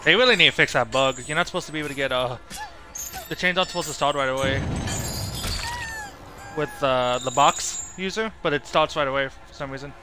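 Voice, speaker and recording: A man's voice: fairly high pitch, brisk, pauses often, music in the background. A young man giving casual, rambling, opinionated live commentary as he streams a video game. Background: horror video game sound.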